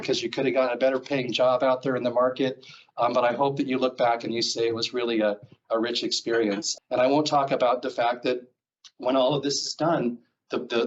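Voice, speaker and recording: A man's voice: medium pitch, muffled recording. A middle-aged man from Seattle with an American accent, giving a rambling conference talk full of fillers in a presentation room. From Seattle, Washington, US. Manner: rambling, filler-heavy, self-correcting. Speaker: guest speaker. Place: presentation room, standing before a screen.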